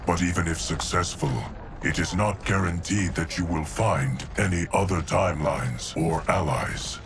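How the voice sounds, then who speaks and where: An actor playing a solemn, loyal, ancient guardian of time in a video game, speaking in a measured, formal way. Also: male voice, deep voice, slight background noise.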